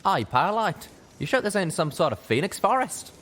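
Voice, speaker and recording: A man's voice: sits high, big pitch swings, a little fast, a bit bright, a little hiss or hum, pitched above the performer's own voice. Man doing a brash, folksy flying pony. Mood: exclaiming. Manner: folksy, calling out. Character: folksy male pegasus pony, brash and friendly.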